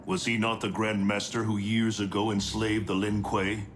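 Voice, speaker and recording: A man playing a serious warrior in a video game, speaking in a formal, measured way. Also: on the low side, little pitch movement, a little hiss or hum, natural voice. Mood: serious, questioning. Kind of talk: cinematic video game acting.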